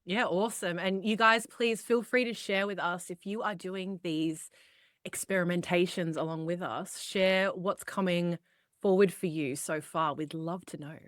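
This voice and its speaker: A woman's voice: lowish voice, steady tone, measured pace, a bit bright. A woman co-hosting a podcast, chiming in with short, encouraging responses. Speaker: podcast co-host. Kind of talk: casual podcast chat.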